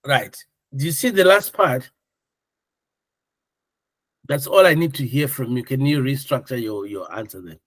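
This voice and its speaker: A man's voice: medium pitch, unhurried, pauses often, no room sound. A man working as an instructor, lecturing informally and explaining things, often checking understanding with questions. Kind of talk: classroom lecture with questions to students.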